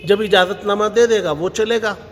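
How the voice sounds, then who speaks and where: High-voiced Indian man in a reverberant hall. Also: fairly even intonation, normal speed. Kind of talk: explanatory public statement. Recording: long hall reverb, faint noise.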